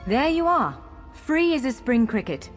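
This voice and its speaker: Fantasy game guide: a courteous, wry elf woman scholar, higher-pitched and expressive, music underneath. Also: a little slow. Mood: brisk, friendly. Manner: articulate, formal but playful. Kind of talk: video game character dialogue. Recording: long hall reverb over music.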